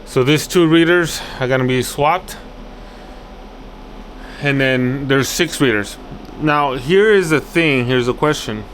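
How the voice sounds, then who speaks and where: A man's voice: mid-range voice, slow pace, pauses often, a bit bright, a little hiss or hum, low-quality recording. A man giving an unscripted hands-on walkthrough as an installer, instructive and thinking aloud, often repeating his phrases. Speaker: access control installer and video host. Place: indoors at an office job site.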